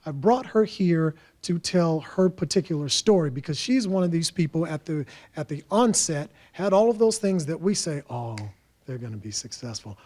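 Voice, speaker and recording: A man's voice: fairly high pitch, animated, moderate pace, darkish tone. A man who coaches and mentors students, giving an emphatic motivational talk with punchy repetition.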